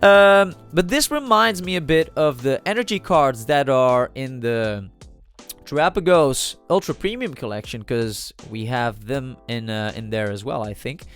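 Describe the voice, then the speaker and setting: A man's voice: mid-range voice, expressive, a little slow, fairly bright tone, soft background music. A man with a cold and a slightly nasal voice, talking casually over a card video and thinking aloud with many fillers and restarts. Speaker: trading card channel host.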